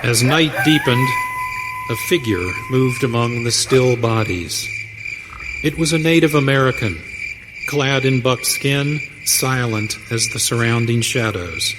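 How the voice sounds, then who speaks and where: A man narrating a scripted western radio drama in a dramatic, deliberate way. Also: medium pitch. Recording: very noisy, effects behind voice.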